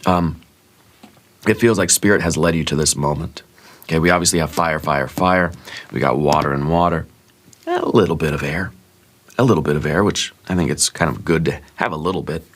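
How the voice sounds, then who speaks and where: A man with a very low voice, stuffed up and a little raw in the throat, lays out tarot cards in a hushed, unhurried ASMR manner. He muses as he goes, filling pauses with small fillers and gentle reassurance. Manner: musing, gently reassuring, thinks aloud with fillers. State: congested, sore throat. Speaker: tarot reader. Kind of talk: soft-spoken ASMR tarot reading.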